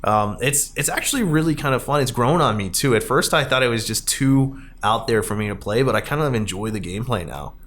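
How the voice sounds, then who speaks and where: A man's voice: mid-range voice, lively intonation, fairly quick, few pauses, fairly bright tone. A man hosting a podcast in a casual, conversational style. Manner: chuckles often while talking. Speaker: podcast host, arcade company staff.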